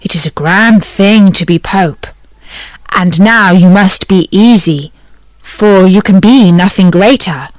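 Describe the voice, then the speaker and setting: A woman's voice: mid-range voice, slow, no echo, lightly acted, poor audio. A woman voicing a timid old fisherman who pleads meekly with his wife and with a magic fish in a storybook reading. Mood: resigned. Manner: pleading, meek. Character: meek, timid old fisherman, the wife's husband.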